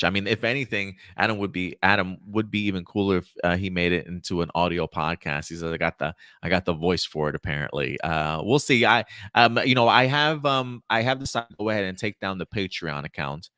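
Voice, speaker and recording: Low-pitched man hosting a casual chat. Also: sharp tone, dry recording, clean studio sound. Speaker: host of a live pop culture show. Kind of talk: casual chat, answering viewer questions.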